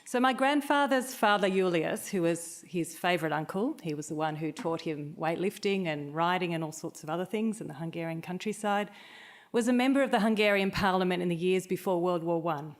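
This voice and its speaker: A woman with a slightly low voice speaks as an academic at a conference. She delivers a prepared talk in a narrative style, like someone telling a story to colleagues. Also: fairly quick.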